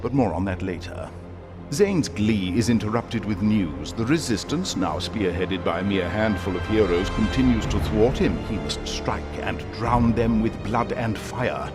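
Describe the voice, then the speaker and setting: An actor narrating a fantasy video game story as a wry, theatrical storyteller. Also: male voice, on the low side, animated, unhurried, music in the background. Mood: wry, dramatic.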